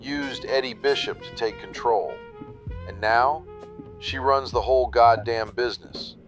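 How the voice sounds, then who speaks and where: A mid-pitched male voice of an actor as a jaded veteran wrestler. He sounds bitter and slyly amused, confiding in noir radio drama style over faint guitar music. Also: a little slow, natural voice. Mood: bitter, half amused. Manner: low-key, bitter, insinuating. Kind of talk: noir audio drama monologue.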